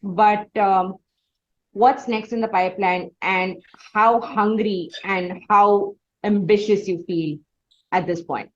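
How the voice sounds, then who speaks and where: A woman's voice: medium pitch, steady tone, slow pace. Effusive Indian woman hosting, slightly dull audio. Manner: articulate, effusive, formal phrasing. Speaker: interview host. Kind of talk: conversational interview hosting.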